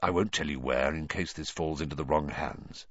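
A man voicing a fugitive wizard godfather reading out his letter in a measured, earnest way. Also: a bass voice, a bit bright, husky, dry recording, dull audio, voice pushed down, exaggerated voice.

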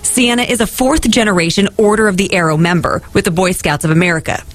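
A woman's voice: mid-range voice, fast, faint music behind. A woman reporting for TV news in scripted, polished feature narration.

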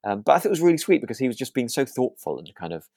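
A man's voice: mid-range voice, very animated, racing, a little mellow, dry recording, studio quality. A British man co-hosting a podcast in casual chat, agreeable and a little rambling as he feels his way through sentences. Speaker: podcast co-host.

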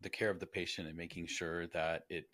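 A man with a fairly low voice hosts a casual interview. He talks haltingly, doubling back on words mid-thought. The sound is dry, with little room in it. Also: flat delivery, fairly bright tone, dry recording. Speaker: podcast host.

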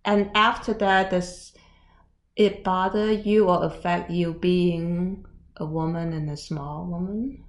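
A woman from Taiwan, hosting a podcast and gently asking interview questions in English. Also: lowish voice, steady tone, slow pace. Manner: non-native phrasing, gentle probing. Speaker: podcast host. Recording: roomy echoey recording.

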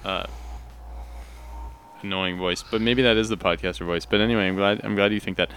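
A man's voice: lowish voice, fairly even intonation, brisk, fairly bright tone, a little hiss or hum. Nasal-voiced man hosting a chatty podcast. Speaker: podcast co-host. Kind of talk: casual chat.

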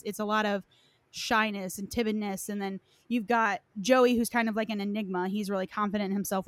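Female, mid-pitched, informal podcast-style chat, very dry recording. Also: no echo. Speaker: podcast co-discussant on game lore. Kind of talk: casual chat.

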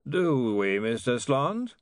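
A man performs a blustering lord for an audiobook. He sounds pompous and baffled, repeats himself testily and swings about in pitch, recorded close and dry. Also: big pitch swings, slow, no echo. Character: baffled, testy, blustering lord, easily swayed.

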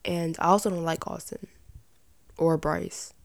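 A young woman with a slightly raspy voice and some vocal fry at sentence ends, chatting casually and giving her opinions as a podcast host. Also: sits low, steady tone, measured pace, no room sound. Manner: informal, rambling, opinionated. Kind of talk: casual chatty solo commentary.

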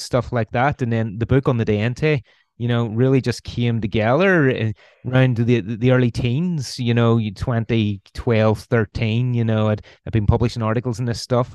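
A man in his forties with a Belfast accent talks through philosophy as an interview guest. He pauses a lot, filling the gaps with ums and restarting sentences. His voice sounds dry and close, with little room around it. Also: medium pitch, darkish tone, no echo. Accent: Northern Irish, Belfast. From Northern Ireland. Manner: hesitant, many ums and restarts. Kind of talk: conversational explanation.

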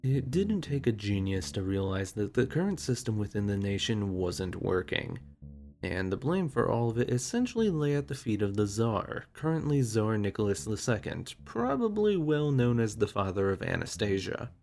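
A man narrating a scripted history explainer, mixing steady exposition with wry conversational asides. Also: fairly low pitch, moderate pace, faint music behind.

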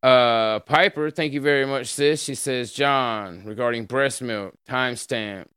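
A man hosting a livestream show, chatting casually with a co-host and reading out donations. He swears a lot, laughs often and trails off quietly at the end of sentences. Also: mid-range voice, a little slow, smooth, no room sound, clean studio sound. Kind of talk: casual chat.

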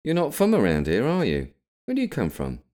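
Guy doing a young pub barmaid, pitched up, chatty and a bit sarcastic, storybook character. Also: huge pitch swings, brisk, a little mellow. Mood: wry, chatty. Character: young village barmaid, friendly, sarcastic, dreaming of escape.

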